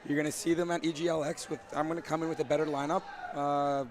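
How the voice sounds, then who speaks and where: A man's voice: on the high side, little pitch movement, quick pace. A man giving rambling, casual interview answers as a team captain, his voice starting to give out. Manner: rambling, peppered with "you know". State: losing his voice. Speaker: esports team captain and player. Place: large indoor gaming event venue. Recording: crowded event venue behind. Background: crowd chatter.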